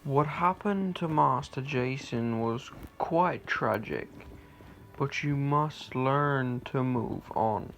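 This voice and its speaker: A man playing a formal, caring, dutiful butler in an amateur superhero radio play, speaking in a measured manner. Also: mid-range voice, vocal fry, a little hiss or hum. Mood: concerned.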